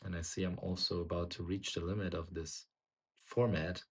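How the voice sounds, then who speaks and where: A young man from Germany, a native German speaker, talks to his channel about teaching music in a very low voice. He often stops to restart and fills the gaps with ums and uhs. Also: level tone, normal speed, slightly rough. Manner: hesitant, many fillers and restarts. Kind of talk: casual vlog reflection.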